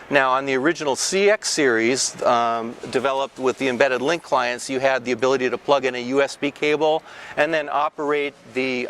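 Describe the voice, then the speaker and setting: Halting male product demonstrator, noisy hall. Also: medium pitch, fairly bright tone. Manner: halting, explanatory delivery with repeated false starts. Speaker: company representative demonstrating products. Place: large indoor exhibition hall booth. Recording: clear ambient trade show floor noise.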